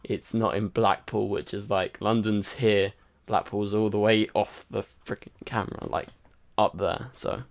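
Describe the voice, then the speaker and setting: A man's voice: on the low side, steady tone, unhurried, gravelly, few pauses. A tired man hosting a casual livestream, rambling and chatty with lots of fillers while answering viewer comments. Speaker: livestream host. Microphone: home microphone.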